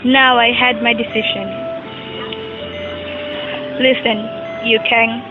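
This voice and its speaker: High-voiced stern old father, acted by a young woman. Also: flat delivery, takes it slowly. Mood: ailing, resolute. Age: young adult. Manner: lecturing, admonishing. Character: stern, ailing old rich father of two sons. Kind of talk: amateur student audio drama. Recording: muffled amateur recording with music.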